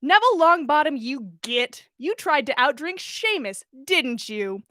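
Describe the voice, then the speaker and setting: A woman's voice: sits high, sing-song, a little slow, bright, forceful tone, no echo, clean studio sound, full character voice. A woman voicing a tipsy, weary young male wizard who grumbles and scolds himself in a story read-aloud. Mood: scolding, exasperated.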